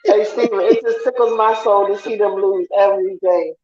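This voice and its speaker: Deep raspy woman, roomy sound. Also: fairly even intonation, normal speed. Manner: laughs often, loud and teasing. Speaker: guest on online sports talk show. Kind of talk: casual chat. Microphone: online call. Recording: roomy, dull lo-fi online call audio.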